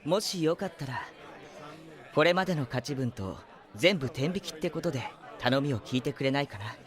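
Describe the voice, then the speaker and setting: An actor playing a polite, composed, enigmatic youth in a Japanese audio drama, soft-spoken and boyish. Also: male voice, medium pitch, lively intonation, moderate pace, some chatter behind, close to an everyday voice. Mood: composed, mysterious.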